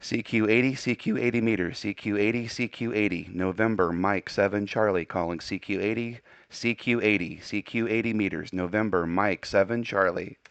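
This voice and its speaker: A man's voice: on the low side, normal speed, few pauses, very noisy. An American man working as an amateur radio operator, speaking courteously in a casual on-air radio style. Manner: courteous, spells with phonetic alphabet.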